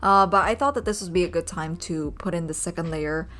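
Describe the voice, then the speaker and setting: A young woman, a native Indonesian speaker, narrating her sketching vlog in a soft, rambling way with many ums. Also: lowish voice, fairly quick. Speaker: vlogger and urban sketcher. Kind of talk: casual voice-over narration.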